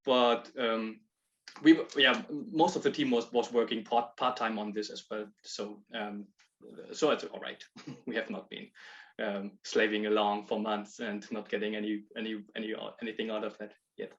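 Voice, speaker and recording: A man presenting his project on a video call, talking in halting bursts with frequent restarts and laughs between points. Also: fairly low pitch, little pitch movement, a bit bright. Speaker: project proposer. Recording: dull lo-fi call audio.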